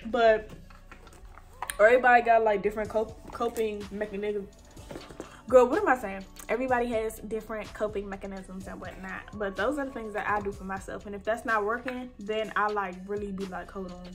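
A young woman in her twenties chatting casually and warmly while she does her makeup, her nose stuffy from allergies. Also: mid-range voice, fairly even intonation, brisk, faint music behind. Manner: chatty, heartfelt, colloquial. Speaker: beauty vlogger. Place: bedroom, indoors.

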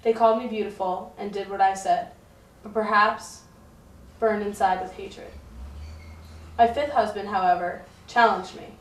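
A woman's voice: mid-range voice, steady tone, a little slow, pauses often, close to an everyday voice. A woman playing a boastful, unashamed, much-married medieval wife in a scripted puppet show monologue. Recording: echoey, distant mic, faint music.

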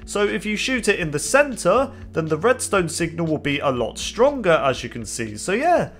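A young man with a slightly hoarse voice, narrating video game news over gameplay in an upbeat way. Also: high voice, normal speed, faint music behind. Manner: upbeat, lively, repeats "as you can see". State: hoarse, getting sick. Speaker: gaming channel host.

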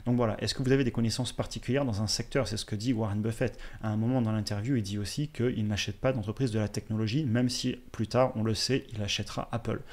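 A man in his thirties hosting a finance channel, explaining investing to viewers in a steady, direct way, recorded on a close studio mic in a small room. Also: lowish voice, fast talker, few pauses. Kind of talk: educational commentary over slides. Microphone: studio mic on a boom in front.

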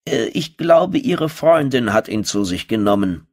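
A man's voice: mid-range voice, animated, unhurried, pitched above the performer's own voice. A man voicing a chatty, nosy widowed female neighbour in an audiobook, gossipy and a little hesitant.

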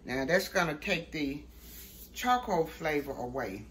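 Low-voiced woman, casual home cook. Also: sing-song, a little slow, a bit bright. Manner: folksy, often ending statements with "okay?". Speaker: home cooking show host. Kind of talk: casual cooking demonstration to camera. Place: home kitchen, later outdoors at a grill at night. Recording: noisy home video, clear background noise.

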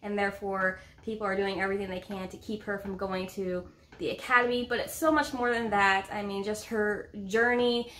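A woman's voice: medium pitch, flat delivery, moderate pace, a bit bright. A woman in her thirties talking casually and enthusiastically as a book vlog co-host, with playful emphasis, in a room at home. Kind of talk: casual book review chat. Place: indoor room at home with bookshelves.

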